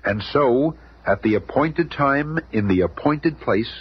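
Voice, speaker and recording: An actor playing a suspenseful narrator of a radio serial, solemn, excited and dramatic. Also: male voice, medium pitch, measured pace, natural voice. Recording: muffled, noisy old radio recording.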